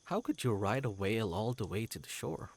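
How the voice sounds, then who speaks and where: A man's voice: on the low side, expressive, a little fast. A man voicing an eccentric, proud wanderer creature telling tales in a fantasy game, with a theatrical, whimsical and courteous manner. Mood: excited, boastful. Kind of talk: character voice in a fantasy game reading.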